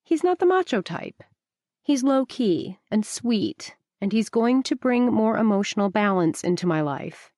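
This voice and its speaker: A woman's voice: mid-range voice, expressive, measured pace, darkish tone, no echo, studio quality, pitched above the performer's own voice, natural voice. A woman playing an earnest, defensive young woman.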